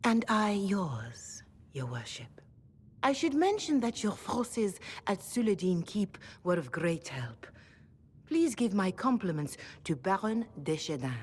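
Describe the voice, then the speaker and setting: A woman playing a gracious, devout older cleric in a video game, speaking formally with measured courtesy and gentle frankness. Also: mid-range voice, very animated, breathy edge. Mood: courteous, measured.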